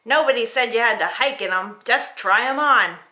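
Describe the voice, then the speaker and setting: Woman doing a bossy queen, imperious, dismissive, forceful delivery, children's storybook style. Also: fairly high pitch, normal speed, slight background noise. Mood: curt, dismissive. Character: haughty, curt storybook queen, bossy and unbending. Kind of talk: children's storybook read-aloud.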